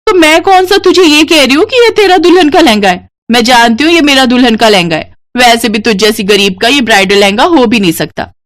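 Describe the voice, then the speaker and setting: A woman's voice: on the high side, brisk, hardly any pauses, a bit bright, pitched above the performer's own voice, close to an everyday voice. A woman voicing a haughty, bossy young rich woman in a moral story, imperious and belittling. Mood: scornful, angry.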